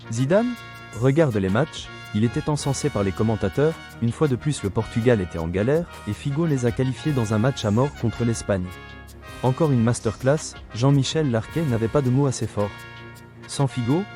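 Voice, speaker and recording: A middle-aged man talks straight at the listener in a combative, sarcastic rebuttal, lecturing as if to a younger rival. His voice is mellow and dark, pitched in an ordinary middle range. Faint music plays underneath. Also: a little fast. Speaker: video commentator. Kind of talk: scripted rebuttal monologue.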